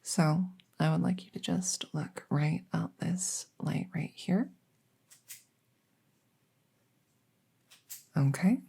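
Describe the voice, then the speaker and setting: Friendly female witch vet, acted by a woman for ASMR roleplay: mellow, unhurried, gentle, goofy, halting and reassuring. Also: low-pitched, fairly even intonation, full character voice. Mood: gentle, attentive. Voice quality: soft, breathy, often whispering, with creak. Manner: gentle, chatty, halting, teasing. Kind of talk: soft ASMR audio roleplay.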